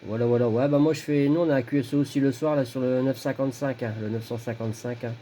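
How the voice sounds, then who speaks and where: Mellow man chatting on amateur radio. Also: mid-range voice, steady tone, fast, rough recording. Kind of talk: casual radio contact chat, signal reports. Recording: faint background noise, radio contact.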